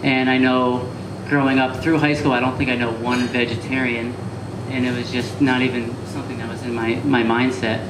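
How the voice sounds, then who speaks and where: A young man from Connecticut, a chef, answering interview questions in a relaxed, rambling way with many fillers, at a live cafe talk. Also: mid-range voice, normal speed, hardly any pauses, far from the mic. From Connecticut, USA. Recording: echoey room with background noise.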